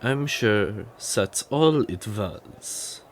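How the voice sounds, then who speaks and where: A man playing a stern, weary older professor in an audio roleplay, speaking in a measured, authoritative, dryly disapproving way. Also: on the low side, expressive, a little hiss or hum.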